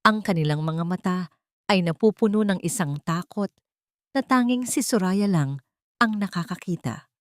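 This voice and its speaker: Woman storyteller, slightly low voice, very lively intonation, dramatic scripted narration, dry studio-like sound. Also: normal speed, no room sound.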